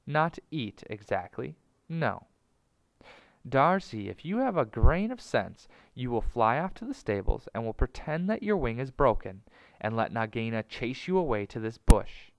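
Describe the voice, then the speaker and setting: A man voicing an impatient male mongoose hero in a storybook, brisk and commanding. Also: medium pitch, very animated, gravelly, dry recording, poor audio. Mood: urgent scheming. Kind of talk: storybook character voice.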